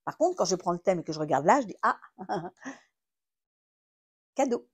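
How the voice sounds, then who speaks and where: A woman's voice: fairly low pitch, very animated, normal speed, slightly rough. French woman teaching, rhetorical and meandering. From France. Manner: thinks aloud with many fillers and restarts, asks rhetorical questions. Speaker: astrotherapist and trainer. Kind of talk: explanatory teaching talk.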